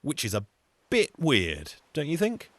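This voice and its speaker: A man's voice: a little high, wildly swooping pitch, measured pace, fairly bright tone, dry recording. A man telling a folktale as a storyteller, in a dramatic, theatrical narrating style.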